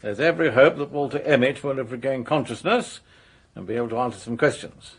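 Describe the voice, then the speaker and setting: Husky senior police officer. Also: male voice, mid-range voice, fast talker. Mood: authoritative. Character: brisk, authoritative male senior police officer. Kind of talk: radio detective drama acting.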